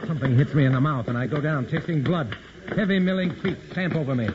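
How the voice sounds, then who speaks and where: A man's voice: a little high, fast, lightly acted. An actor playing a grim undercover man in a 1940s radio drama, narrating in clipped, tough-talking first person. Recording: loud hiss on a dull old radio recording.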